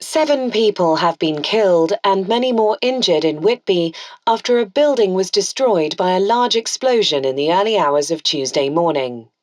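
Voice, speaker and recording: A woman with a British accent playing a composed local radio newsreader, delivering a scripted bulletin in a formal, measured way. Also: lowish voice, lively intonation, darkish tone, no echo, close to an everyday voice. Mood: composed, grave. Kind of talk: scripted news bulletin within audio drama.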